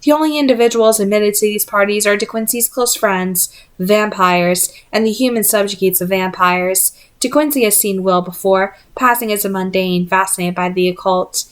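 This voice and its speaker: A woman reader puts on a highborn vampire woman from a novel. She is haughty and scornful, with formal, imperious phrasing and a cool sense of her own rank. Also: normal speed, few pauses, close to an everyday voice. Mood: cool, assured.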